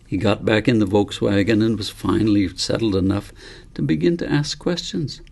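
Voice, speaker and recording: Creaky-voiced man narrating a story. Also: lowish voice, normal speed, a little mellow. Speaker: author reading his novel.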